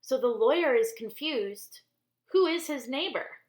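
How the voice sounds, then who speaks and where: A woman reading a children's story aloud expressively, deliberately, explaining things in simple words. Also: high-pitched, animated. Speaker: storybook reader for church children. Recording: roomy, echoey indoor sound.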